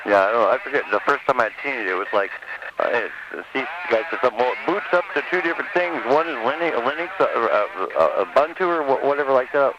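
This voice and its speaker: An American man in a casual CB radio chat, speaking haltingly with repeated words as he asks questions. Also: mid-range voice, expressive, highs cut off. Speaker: CB radio caller. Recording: noisy, crackly CB radio transmission. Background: clear radio noise and clicks.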